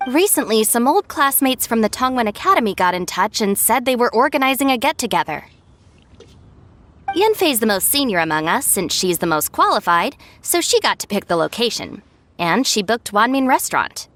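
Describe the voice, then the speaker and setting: Dry, expressive young gang deputy. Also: female voice, fairly high pitch, normal speed, slight background noise. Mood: explaining. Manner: dry, articulate, explanatory. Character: sensible young woman, dryly exasperated gang deputy. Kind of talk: anime-style video game voice acting.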